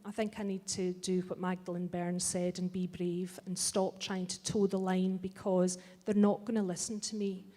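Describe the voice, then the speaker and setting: A woman's voice: mid-range voice, mellow. A middle-aged Scottish woman giving a blunt, wry and impassioned speech to a live audience. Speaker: festival speaker. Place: large hall. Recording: long hall reverb.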